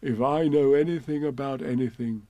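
A man reading a storybook aloud and voicing a simple, good-natured teddy bear who talks slowly and hums to himself. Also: mid-range voice, warm tone, no echo, natural voice, low-quality recording. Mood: musing. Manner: slow, musing, hums to himself.

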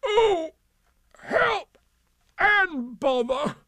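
Storybook toy bear: slow, hesitant, self-pitying, voice swooping up into plaintive worry. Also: male voice, medium pitch, lively intonation, gravelly, warm tone, no room sound, studio quality, heavily acted. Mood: distressed, plaintive. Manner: hesitant, plaintive, drawn-out delivery.

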